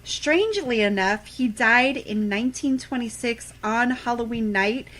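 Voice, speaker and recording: Bright-voiced woman reading facts. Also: on the high side, unhurried, poor audio. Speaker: radio show co-host. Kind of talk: reading trivia aloud, scripted facts.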